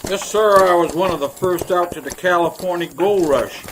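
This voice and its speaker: An actor playing a chatty, folksy older wagon driver with a rural Western American drawl in an old-time radio Western. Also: male voice, high-pitched, normal speed, noisy background, lightly acted. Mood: boastful, jovial. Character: garrulous leathery old frontier wagon driver, folksy and cheerful.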